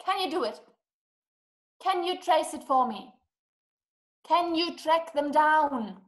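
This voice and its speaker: A woman's voice: high voice, expressive, slow pace. A young woman playing a distraught, imperious invalid wife in a play, speaking with dramatic, emphatic, formal diction. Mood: urgent pleading. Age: young adult. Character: distraught, imperious, self-pitying invalid wife, desperate and impatient. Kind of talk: theatrical stage-play acting over video call. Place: small dim room at home. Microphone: webcam video call.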